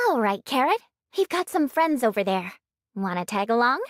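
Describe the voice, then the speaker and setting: A gentle young companion in an anime game. Her voice is high and expressive in pitch, yet composed, unhurried and reassuring. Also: no room sound, clean studio sound. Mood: friendly.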